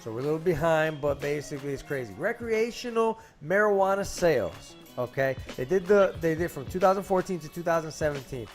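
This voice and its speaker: A man's voice: on the high side, a little fast, few pauses, faint music behind. A man hosting a casual podcast, talking in a loose, profane way and reading numbers out to his cohosts. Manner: animated, profane, reads stats to cohosts. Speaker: podcast host. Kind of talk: casual chat.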